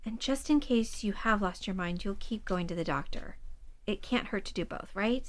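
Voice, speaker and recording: A woman's voice: mid-range voice, normal speed, natural voice. A woman playing a young kitchen witch in an audio drama, frank and wry but ready to help. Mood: practical, firm. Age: young adult. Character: blunt, skeptical but helpful young kitchen-witch friend.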